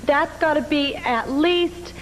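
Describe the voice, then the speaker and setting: A young woman from California, a game show champion, chats breezily in a fairly high voice. The sound rings in a hall with some noise behind her. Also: takes it slowly. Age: young adult. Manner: breezy, casual, laughs easily.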